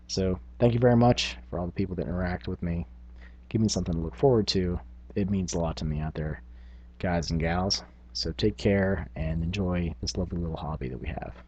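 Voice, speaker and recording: A man's voice: deep voice, brisk, darkish tone, breathy edge. A middle-aged man talking informally and reflectively as a channel host, hesitating and thinking aloud as he goes. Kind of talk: casual reflective vlog on tabletop gaming.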